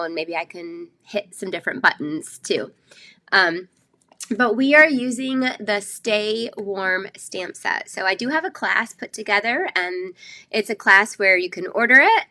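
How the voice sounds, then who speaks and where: A woman's voice: medium pitch, pushed and bright. Chatty nasal woman hosting a craft live stream. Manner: chatty, rambling with many fillers. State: nasal from a cold. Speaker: craft show host.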